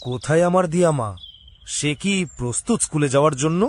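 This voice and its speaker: A man's voice: fairly high pitch, fast talker, natural voice. A man voicing an affectionate father in a cartoon story, fond and cheerful. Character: affectionate father of a young girl. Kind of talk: Bengali cartoon story acting.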